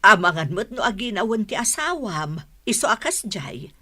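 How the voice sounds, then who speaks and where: Husky-voiced, pleading village mother played by an actress. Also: medium pitch, sing-song, moderate pace, sharp tone. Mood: pleading, worried. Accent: Ilocano. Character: pleading elderly mother, anxious to mend her son's marriage. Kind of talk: Ilocano radio drama acting.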